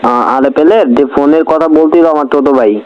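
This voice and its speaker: A man's voice: fairly high pitch, fast. A man with a regional Bangladeshi accent making a colloquial prank phone call, insistent, repeating himself and interrupting. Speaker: prank caller. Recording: dull, noisy phone recording.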